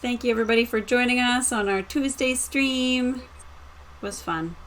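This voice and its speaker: A woman's voice: a little high, moderate pace, a bit bright. A woman co-hosting a show, chatting casually with a dry, teasing manner. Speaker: show co-host. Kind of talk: casual chat.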